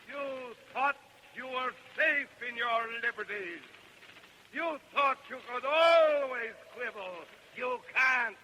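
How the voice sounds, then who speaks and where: An actor playing a stern prophetic orator who harangues a crowd with incantatory, declamatory delivery in an old radio verse drama. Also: male voice, very high voice, bright, forceful tone, heavily acted. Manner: slow, ringing, incantatory, oratorical. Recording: noisy old radio recording.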